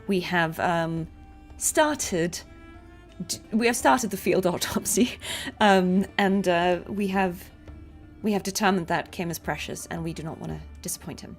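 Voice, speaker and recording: A woman's voice: on the low side, measured pace. A woman chatting casually on a livestream while commentating on a video game, giggly and often interrupting herself. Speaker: game streamer. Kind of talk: casual livestream chat and gameplay commentary, reading dialogue aloud. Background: video game music.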